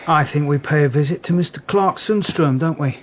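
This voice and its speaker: An actor playing a courteous, quietly insistent British detective inspector in a radio drama. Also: male voice, on the high side, a little hiss or hum, lightly acted. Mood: assured. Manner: courteous, measured, firm. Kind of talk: naturalistic radio drama acting.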